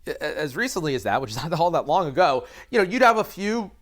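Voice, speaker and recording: An American man speaking as an expert guest in an interview, explaining haltingly with repeated words and careful qualifications. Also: on the high side, big pitch swings. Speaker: expert guest, aviation reporter. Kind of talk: conversational interview analysis.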